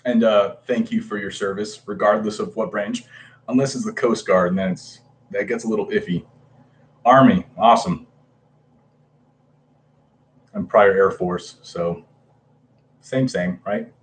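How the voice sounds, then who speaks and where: A man's voice: on the low side, a little slow, pauses often. A man in his thirties casually chatting on a livestream, greeting viewers by name with lots of hesitant fillers. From Hawaii. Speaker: comic book livestreamer.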